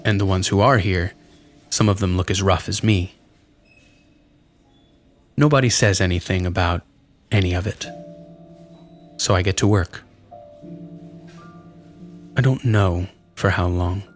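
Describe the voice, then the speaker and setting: A man with a low voice narrates a story in the first person. His delivery is scripted and dramatic, and music plays clearly behind him. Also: measured pace, pauses often.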